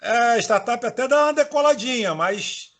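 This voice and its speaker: A man's voice: very high voice, expressive, moderate pace, fairly bright tone. A man from Bahia with a Carioca accent, hosting an interview in a chatty, casual way with frequent restarts. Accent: Carioca Portuguese. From Bahia, Brazil. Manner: chatty, laughs while talking, many restarts. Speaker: interviewer host.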